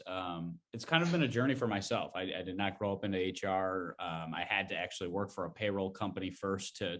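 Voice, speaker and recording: Enthusiastic man hosting a podcast. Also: on the low side. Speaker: podcast co-host.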